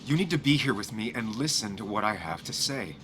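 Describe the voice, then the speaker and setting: An actor playing a guarded, coldly logical investigative journalist in a video game, speaking in a terse, matter-of-fact way. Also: male voice, lowish voice, lively intonation, fairly quick, music in the background, lightly acted. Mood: grim, urgent. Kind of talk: naturalistic video game acting.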